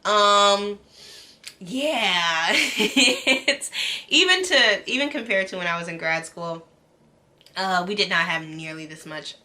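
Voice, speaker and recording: A woman's voice: medium pitch, slow, pushed and bright. A woman in her late twenties, a medical student, talking earnestly to camera in a casual vlog, with ums and restarts. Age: 20s.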